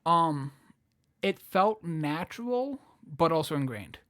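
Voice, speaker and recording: A man's voice: fairly high pitch, big pitch swings, slow, fairly bright tone. A man, a comedian guest, telling stories in casual podcast chat, often restarting and repeating his phrases.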